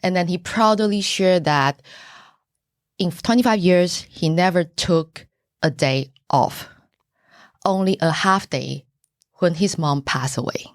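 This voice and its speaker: Low-voiced Taiwanese woman, earnest. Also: no room sound, clean studio sound. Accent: Taiwanese Mandarin. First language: Mandarin. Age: middle-aged. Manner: earnest, pausing, searching for words. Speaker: buyer's agent, podcast guest. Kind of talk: casual interview answers.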